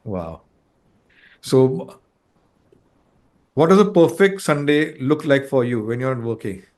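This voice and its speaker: A man's voice: medium pitch, animated, slow, darkish tone. A man hosting an interview, giving short reactions and asking questions. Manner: brief reactions and prompting questions. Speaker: interview host.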